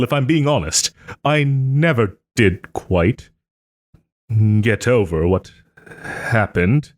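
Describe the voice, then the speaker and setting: Man playing a haughty, wounded nobleman, dramatically expressive. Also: fairly low pitch, no room sound, clean studio sound. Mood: apologetic, sincere. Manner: theatrical, formal old-fashioned phrasing, hesitant pauses. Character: wronged young nobleman, haughty, wounded, still tenderly caring. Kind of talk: scripted romantic audio roleplay.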